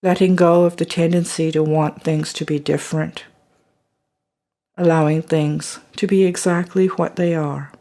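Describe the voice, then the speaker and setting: A meditation guide leading a body scan, speaking slowly and softly and trailing into whispers at phrase ends. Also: mid-range voice, level tone.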